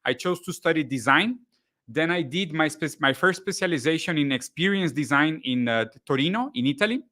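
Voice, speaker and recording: Man, interview guest, crisp bright tone, loose and talkative. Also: mid-range voice. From Brazil. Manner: relaxed, chatty, frequent restarts. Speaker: guest, content creator. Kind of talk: casual interview chat.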